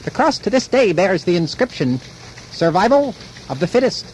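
A man's voice: sits high, big pitch swings, moderate pace, darkish tone. A man narrating a scripted radio drama as a storyteller, in a declamatory, mock-epic manner. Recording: noisy old radio broadcast recording.

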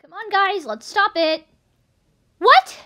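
A woman voicing a bratty young apprentice cat in a fan comic dub, squeaky and creaky. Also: big pitch swings, slow, sharp tone, heavily acted. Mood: irritated.

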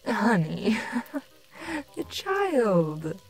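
A woman's voice: medium pitch, sing-song, very slow, faint music behind, heavily acted. A woman voicing a doting, sultry female changeling queen who coos coyly at her beloved in a fan story reading. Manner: cooing, coy, affectionate. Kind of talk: dramatic fan fiction reading.

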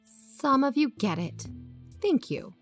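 A woman's voice: sits high, very animated, slow, husky, faint music behind, full character voice. A woman acting as a practical, blunt podcast co-host in a conversational audio drama. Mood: defensive.

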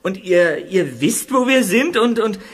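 An actor playing an injured, jittery young detective who stammers nervously in a radio play. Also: male voice, high-pitched, expressive, measured pace, husky, exaggerated voice. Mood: startled.

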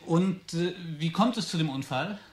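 A man's voice: a little high, moderate pace, lightly acted. An actor playing an eager, deferential research assistant in a radio drama, hesitant and stammering as he speaks. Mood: polite, businesslike.

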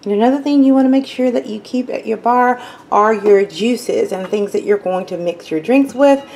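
A woman's voice: fairly high pitch, moderate pace, vocal fry. Chatty woman giving a home bar tour. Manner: chatty, relaxed, many fillers like um and you know. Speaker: lifestyle vlogger. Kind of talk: casual home tour talk to camera. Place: home basement bar, indoors.

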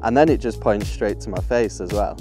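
A man's voice: lowish voice, a little fast, music in the background. A young man vlogging outdoors on a rocky beach with a clip-on mic, eager and rambling, laughing at himself. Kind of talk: excited casual vlog. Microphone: small clip-on mic.

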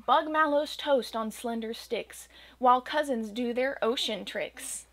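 A young woman reading a rhyming children's picture book aloud at home, with a gentle, expressive storytelling cadence. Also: on the high side, a little slow. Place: small home room with bookshelves and green screen.

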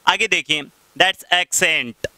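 Bright-voiced Rajasthani-accented male teacher. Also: high voice, no echo. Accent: Rajasthani Hindi. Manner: explains patiently, slows down to read English example sentences aloud. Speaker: English teacher. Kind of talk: classroom lecture explaining English vocabulary in Hindi. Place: classroom in front of a whiteboard. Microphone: headset mic.

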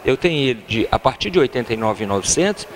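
A man's voice: medium pitch, very animated, fast talker, a little hiss or hum. A middle-aged Brazilian man giving an informal promotional sales talk as a car dealership salesman. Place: large car showroom.

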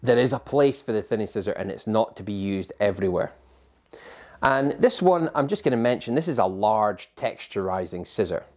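A man's voice: fairly low pitch, animated, normal speed, a little mellow. A man in his forties, a barber explaining scissors to camera in a barbershop, in a patient, practical teaching manner. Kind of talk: instructional talk to camera. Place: barbershop interior.

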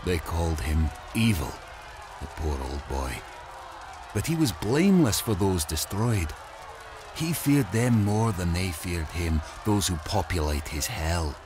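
A man's voice: low-pitched, animated, measured pace, background noise. An actor playing a tormented male mad scientist, delivering a solemn, theatrical rhyming monologue in a gothic horror audio drama. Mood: anguished, regretful. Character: tormented, brooding male mad scientist confessing his blasphemy.

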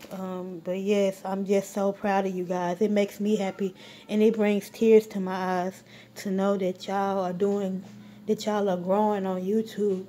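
A woman talks through a casual grocery haul while holding her phone camera at home. She speaks slowly and loosely, full of ums and restarts, with a mellow, dark tone and a middle pitch. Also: level tone. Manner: rambling, full of ums, warm shout-outs. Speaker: vlogger. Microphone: phone camera held while filming.

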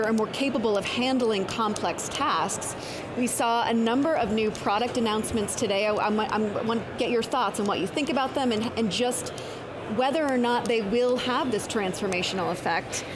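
A woman's voice: on the high side, background noise. A woman co-host asking an analyst long, framing questions at a live trade show set. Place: TV set on a busy convention floor.

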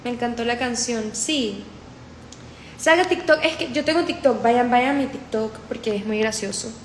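A woman's voice: fairly high pitch, normal speed, echoey room, a little hiss or hum. A woman in her twenties from Colombia with a paisa accent, chatting casually on a phone livestream and reading comments aloud. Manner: chatty, repetitive, mixes in English. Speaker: livestream host. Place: room indoors. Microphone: phone held close.

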